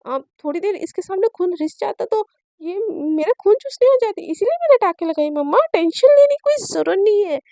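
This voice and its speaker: A woman's voice: very high voice, fairly even intonation, a little fast, mellow, dry recording. A woman voicing a cheeky young woman in a spoken story, joking and teasing playfully. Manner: playful, mocking banter.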